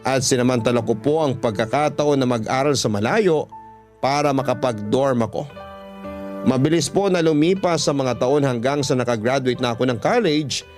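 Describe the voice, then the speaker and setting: Filipino male radio storyteller, mid-pitched, dramatic scripted narration, soft music behind. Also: normal speed. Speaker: radio storyteller reading a letter sender's story. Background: background music.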